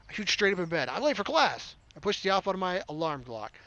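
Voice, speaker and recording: High-voiced man reading a visual novel aloud. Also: very animated, fast, pushed and bright, muffled recording. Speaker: game streamer reading aloud. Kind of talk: let's play narration with casual commentary. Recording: game music behind voice.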